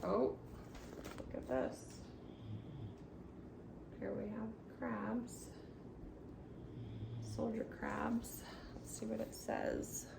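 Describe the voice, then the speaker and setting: An older woman reading a rhyming children's storybook aloud at home, expressive, with murmured asides. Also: a little high, drawn-out words, gravelly, pauses often, distant mic. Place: room at home. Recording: roomy echo, faint background noise.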